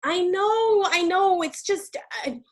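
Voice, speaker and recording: A woman's voice: extremely high pitch, slow pace. A woman acting an anxious, apologetic activist in a stage comedy over a video call, earnest and hesitant. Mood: sheepish, worried. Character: anxious, apologetic female activist with a strict wife.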